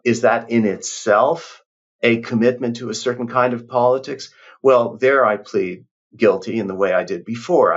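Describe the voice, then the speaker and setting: A man's voice: on the low side, breathy edge. A man, a professor, giving reflective, emphatic interview answers with frequent restarts. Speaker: professor, guest. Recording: dull lo-fi sound.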